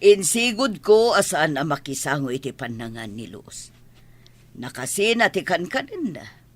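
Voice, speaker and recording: A woman's voice: sits low, sing-song, measured pace, full character voice. A woman playing a haughty, wealthy middle-aged mother in a radio drama, with an imperious, dramatic way of talking. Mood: haughty disdain. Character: haughty wealthy mother, disdainful of poor relatives. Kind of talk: Ilocano radio drama acting.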